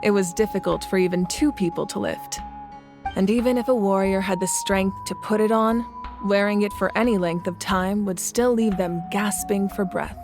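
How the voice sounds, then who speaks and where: A confident, worldly leader, voiced by a woman for a video game, speaking at a middle pitch. She tells long stories in a calm, measured way, and faint game music plays underneath. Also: close to an everyday voice. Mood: explaining. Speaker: Archon of the land. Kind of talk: game voice acting.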